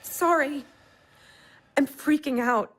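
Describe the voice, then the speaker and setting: High-voiced, anxious young wife, blunt and foul-mouthed, radio play. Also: female voice, takes it slowly. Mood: frantic, snappish. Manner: blunt, swears often. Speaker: newly married wife. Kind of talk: audio drama acting.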